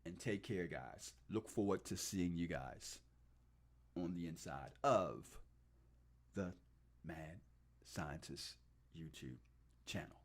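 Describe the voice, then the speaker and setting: Upbeat middle-aged livestream host. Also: male voice, sits low, animated, slow pace, long pauses. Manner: upbeat, enthusiastic, repeats thanks to viewers. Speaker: real estate investor hosting a livestream. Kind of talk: casual livestream shout-outs and channel tour.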